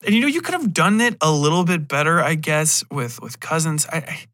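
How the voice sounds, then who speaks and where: Bright, punchy American man rambling on a podcast. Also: fairly high pitch, animated, a little fast, dry recording. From United States. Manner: profane, blunt and irreverent. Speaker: podcast host. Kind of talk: casual solo podcast rambling review.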